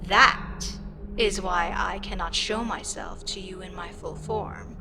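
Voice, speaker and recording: An ancient eldritch goddess performed by a woman speaks at a very drawn-out, deliberate pace in a medium-pitched voice. She is condescending and wryly amused, with a frustrated, sarcastic edge. It is an ASMR audio drama, with music clearly playing behind her. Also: steady tone. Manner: unhurried, condescending, dryly sarcastic.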